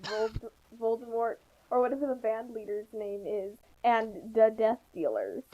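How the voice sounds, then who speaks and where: A woman's voice: a little high, animated, a little slow, phone-quality sound. A woman giving casual, joking commentary in a wry, sarcastic manner. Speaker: co-commentator on a fan fiction reading.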